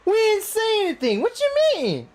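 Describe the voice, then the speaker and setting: A woman's voice: very high-pitched, wildly swooping pitch, normal speed. A woman livestreaming a game with casual, slangy, exclamatory reactions and commentary. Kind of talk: casual live gameplay commentary, reading dialogue choices. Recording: game music behind.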